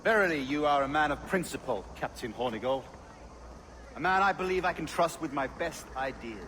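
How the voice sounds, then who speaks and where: High-voiced, courtly royal governor. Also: male voice, expressive, a little hiss or hum. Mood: smooth, measured. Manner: formal, measured, courtly. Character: courteous, commanding male royal governor, coolly menacing. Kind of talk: video game character acting. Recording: loud music and outdoor ambience behind.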